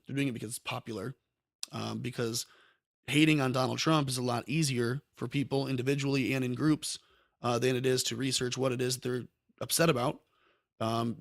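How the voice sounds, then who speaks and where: A man's voice: mid-range voice, creaky, dry recording, studio quality. Rambling male political podcast host. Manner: rambling, frequent ums and self-corrections. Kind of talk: political commentary chat.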